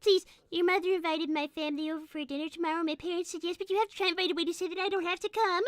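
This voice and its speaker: A woman's voice: extremely high pitch, steady tone, racing, no room sound. A woman voicing a timid, polite young cartoon rabbit girl who is apologetic and prim in improvised comedy chat. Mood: anxious.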